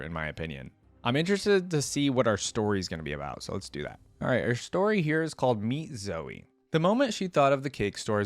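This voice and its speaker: A man's voice: mid-range voice, dry recording. A man hosting a reaction video, reading a story aloud expressively and adding casual commentary.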